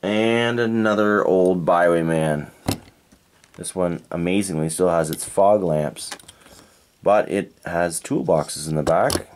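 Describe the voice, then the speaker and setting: A man from Canada reviewing toy cars in a relaxed, unhurried way, thinking aloud and correcting himself as he goes. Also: deep voice, noisy background. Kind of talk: unscripted hands-on toy review. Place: indoors at a tabletop diorama.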